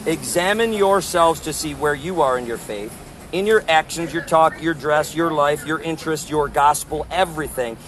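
A man preaching outdoors in conversation, loud and emphatic, quoting scripture insistently. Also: a little high, moderate pace. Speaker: street preacher.

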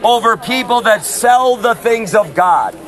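A man who is a street preacher, talking loudly and insistently outdoors on a city street, recorded on a handheld phone. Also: high voice, expressive, measured pace, some chatter behind. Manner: loud, forceful, insistent. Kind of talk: open-air preaching and street argument.

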